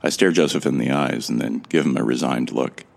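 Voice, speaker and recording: A man as a gravelly, very deep-voiced doomed narrator. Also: brisk, exaggerated voice. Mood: resolute. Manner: grim, resigned first-person telling. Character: determined young man running toward doom. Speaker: first-person narrator and lead character. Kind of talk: audio drama narration.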